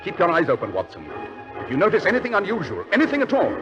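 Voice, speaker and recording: An actor playing a keen, self-assured British detective with incisive, clipped delivery in a 1940s radio drama. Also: male voice, sits high, huge pitch swings, racing, over loud music. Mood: brisk, commanding. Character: keen, brisk, commanding male detective. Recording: dull old radio recording.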